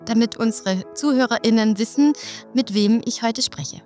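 A woman's voice: on the high side, moderate pace, darkish tone, soft background music. A woman hosting a podcast, welcoming her guest in a friendly way and asking her questions. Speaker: podcast host, educator. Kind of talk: podcast interview.

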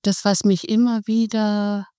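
A middle-aged woman speaks as a guest in a podcast interview, explaining things calmly and in measured steps. Her voice is mellow and warm. The recording is dry and close. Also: medium pitch, clear, resonant tone, dry recording. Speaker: hospice volunteer, guest.

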